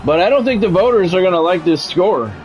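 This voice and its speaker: A middle-aged man with a slightly high voice gives live commentary on a sports video game. He speaks in short, clipped play-by-play phrases like a radio announcer and slips into casual, salty chat. Music plays behind him. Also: expressive, a little fast, darkish tone, poor audio. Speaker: gaming streamer and play-by-play commentator.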